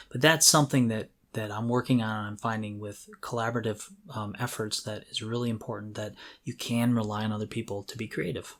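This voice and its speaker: A middle-aged man co-hosting a podcast, talking casually and reflectively as he thinks aloud. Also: mid-range voice. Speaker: podcast co-host. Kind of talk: casual conversational talk.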